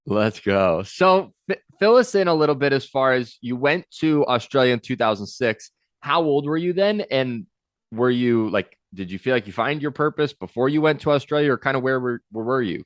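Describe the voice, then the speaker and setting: A man's voice: mid-range voice, moderate pace, no echo, studio quality. Upbeat male podcast host. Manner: upbeat, energetic and encouraging. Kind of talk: podcast intro and interview.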